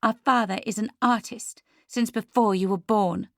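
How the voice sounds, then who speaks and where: A woman's voice: mid-range voice, moderate pace, no echo, clean studio sound, voice pushed up, lightly acted. A woman voicing a hot-tempered, defensive, loyal adult daughter in an audiobook, heated and insistent. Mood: indignant.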